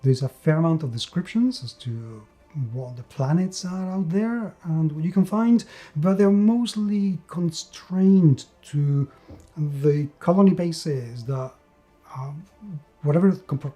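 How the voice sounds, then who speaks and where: A man's voice: on the high side, animated, a little slow, warm tone, faint music behind. A man giving an unscripted, enthusiastic video review of a tabletop game, repeating words for emphasis.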